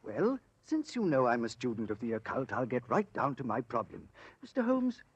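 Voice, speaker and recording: An actor playing an eager, courtly investigator of the occult, speaking in a theatrical, emphatic and genteel manner in a 1940s-style radio drama. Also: male voice, mid-range voice, huge pitch swings, normal speed, breathy edge. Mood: polite, intent. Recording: dull, dry old radio recording.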